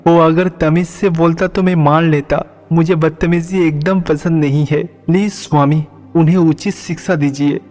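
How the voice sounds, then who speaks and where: A man's voice: a little high, fairly even intonation, moderate pace, hardly any pauses, a little mellow, close to an everyday voice. Guy doing meek pious village milkman from a cartoon, earnest, echoing with music behind. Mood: pleading prayer. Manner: polite, pleading, earnest. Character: Rangaiya, meek devout naive male village milkman. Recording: long reverb, music behind.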